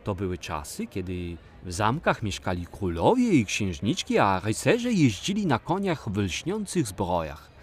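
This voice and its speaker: A man's voice: lowish voice, wildly swooping pitch, a little slow, few pauses. An actor playing a kindly, patient man who explains and encourages as a guide in a children's audio play. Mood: patient, explanatory.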